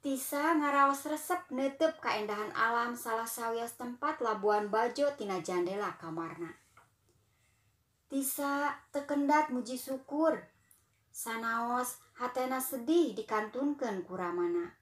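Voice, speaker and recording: A young woman with a Sundanese accent reads a children's tale in a high, gentle voice. She takes her time over each phrase, and the room around her rings with echo. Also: lively intonation. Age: young adult. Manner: gentle, unhurried reading to children. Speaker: storyteller. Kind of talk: children's storytelling narration.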